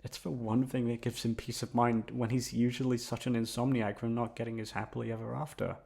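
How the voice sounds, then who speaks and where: A man playing an obsessive, lovesick young man in an intimate ASMR roleplay, coaxing softly with drawn-out words and emphatic repetition. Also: medium pitch, level tone, gravelly, close to an everyday voice. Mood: obsessive, yearning. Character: obsessive lovesick young man confessing to his best friend.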